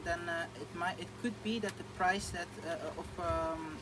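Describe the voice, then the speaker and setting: A man's voice: on the high side, fairly even intonation, normal speed, background noise. A young man with a Dutch accent, speaking casually as an interview guest, with many fillers and restarts. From Netherlands. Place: outdoor thatched shelter.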